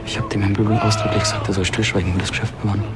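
An actor playing a nervous, secretive, defensive employee in a TV crime comedy. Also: male voice, on the low side, flat delivery, very fast, over loud music, lightly acted. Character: nervous, secretive male employee selling on the sly.

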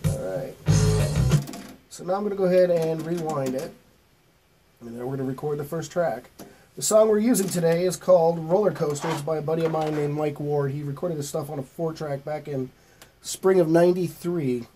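A man's voice: fairly high pitch, moderate pace, warm tone, faint music behind. A middle-aged man talking through an informal, step-by-step recording tutorial in a plain-spoken, folksy way. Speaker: host of music recording tutorial. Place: small home studio with acoustic foam.

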